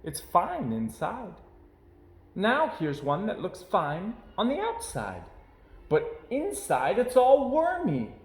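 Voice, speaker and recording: Man acting storybook mother bear, raised pitch, patient, reassuring, lilting delivery, roomy echo. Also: wildly swooping pitch, slow pace, exaggerated voice. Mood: patient, explaining. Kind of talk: children's picture book read-aloud.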